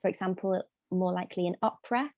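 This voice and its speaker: A woman's voice: lowish voice, quick pace, darkish tone, no room sound. Young Scouse woman, very dry sound. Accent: Liverpool (Scouse), light. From Liverpool, England. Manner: chatty, many ums and restarts. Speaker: guest, soprano singer. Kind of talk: casual interview chat.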